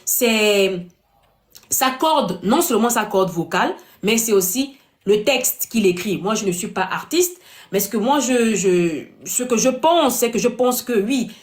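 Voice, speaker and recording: A woman's voice: a little high, expressive, unhurried. A woman hosting a casual panel show, often repeating and rephrasing as she puts questions to her guests. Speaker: host of a web talk show. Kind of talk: casual panel discussion, asking guests for opinions.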